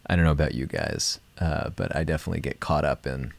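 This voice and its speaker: A man hosting a live stream, guiding meditation and chatting casually in a gentle, unhurried, reflective way. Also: a bass voice, gravelly.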